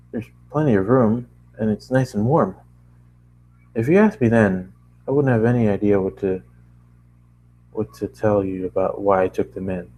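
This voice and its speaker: A man narrating a first-person story as an amateur reader, halting now and then to correct his phrasing. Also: lowish voice, highs cut off.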